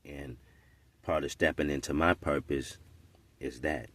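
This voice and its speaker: A man's voice: a bass voice, steady tone, measured pace, a bit bright, no room sound. A man in his forties giving an earnest, preachy, unscripted monologue, often checking in with "right?". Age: 40s. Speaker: podcast commentator.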